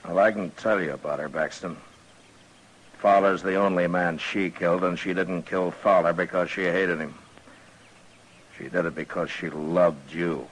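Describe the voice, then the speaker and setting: An actor playing a stern, weary American marshal of the Old West in 1950s radio western style, terse, commanding and grave. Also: male voice, sits low, fairly even intonation, normal speed, close to an everyday voice. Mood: grave, accusing. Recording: noisy, dull old radio recording.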